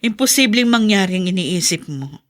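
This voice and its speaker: A woman's voice: medium pitch, expressive, moderate pace, natural voice. An actress playing a bitter, resigned, ailing mother in a radio drama, world-weary and emphatic. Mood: bitter, dismissive. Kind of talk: Filipino radio drama acting.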